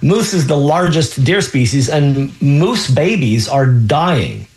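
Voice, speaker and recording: A man's voice: a little high, expressive, slow, a little mellow. A man hosting a call-in radio health show as a physician, explaining answers with frequent ums and restarts.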